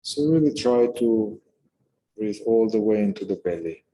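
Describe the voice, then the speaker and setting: A man leading a guided meditation in a calm, unhurried manner, speaking in short phrases with pauses between them. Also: on the low side, slight background noise, poor audio. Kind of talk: guided meditation, unscripted instruction.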